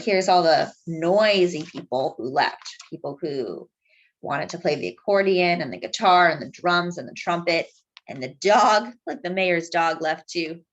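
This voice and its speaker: A woman's voice: lowish voice, dull audio. A woman storyteller reading a picture book aloud expressively, stretching key words and chatting to young listeners. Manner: animated, drawn-out emphasis. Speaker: children's storyteller.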